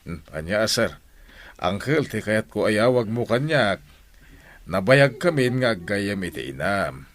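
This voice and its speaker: A man playing a smooth-talking, flirtatious family friend with a Filipino accent in a radio drama. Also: fairly low pitch, big pitch swings, unhurried. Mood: flirtatious, oily. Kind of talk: Ilocano radio drama acting.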